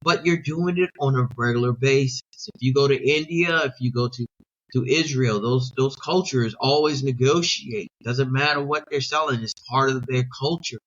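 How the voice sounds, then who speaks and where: A middle-aged man who coaches sales talks in a mid-pitched voice, making emphatic points, repeating himself and throwing in rhetorical questions. The sound is slightly dull and lo-fi. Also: normal speed, fairly bright tone. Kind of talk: podcast interview.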